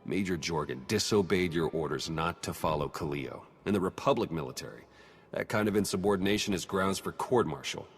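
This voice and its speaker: A serious game officer played by a man with a very low voice. He reports formally and plainly in a reverberant space over faint music. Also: brisk, lightly acted. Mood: stern. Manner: matter-of-fact, formal. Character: serious, by-the-book male military aide.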